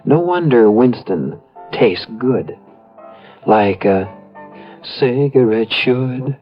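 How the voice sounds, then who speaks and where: A man with an American accent reading a 1950s radio commercial as announcer, in deliberate, emphatic phrases. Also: medium pitch, expressive, soft background music. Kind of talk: 1950s radio cigarette advertisement. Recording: muffled old radio recording.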